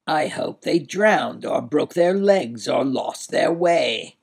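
A man voicing a cold, cruel, raging villain duke in a storybook, theatrical and imperious, with a harsh rasp. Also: a little high, lively intonation, slow pace, pitched above the performer's own voice, heavily acted. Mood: gloating, scornful. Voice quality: raspy, harsh voice. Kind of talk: dramatised storybook narration.

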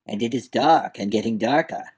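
A man voicing a fretful, insistent little old male creature from a storybook, with a high, quavering voice and dramatic delivery. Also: moderate pace, darkish tone, breathy edge, natural voice. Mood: worried.

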